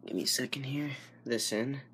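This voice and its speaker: A young man giving a casual technical demonstration, halting and correcting himself, recorded indoors on a handheld camera. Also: medium pitch, noisy background. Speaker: hobbyist radio restorer. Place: small furnished room indoors. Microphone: handheld camera, behind it.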